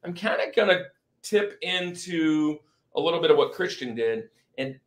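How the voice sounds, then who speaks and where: A man's voice: on the high side, animated, normal speed. A man hosting a movie ranking podcast on a video call, chatting casually and repeating phrases for emphasis with bro-style slang. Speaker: podcast host.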